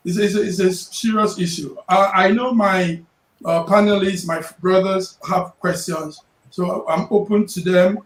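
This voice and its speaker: A man's voice: high-pitched, fairly even intonation, measured pace. A man from Nigeria hosting a panel discussion, speaking hesitantly and often repeating words. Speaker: panel host. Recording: roomy studio with faint noise.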